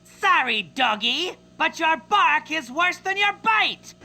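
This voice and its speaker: An actor voicing a bossy, taunting villain who shouts orders at monster henchmen in a video game. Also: extremely high pitch, sing-song, takes it slowly, in a large hall, music in the background, full character voice.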